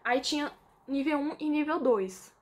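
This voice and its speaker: A young woman with a Brazilian Portuguese accent talks to her camera in a loose, chatty way, full of fillers and asides. Her voice sits a little high for a woman. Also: a little slow. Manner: chatty, informal, lots of fillers, reads from a script. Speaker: vlogger. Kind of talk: casual chat to camera.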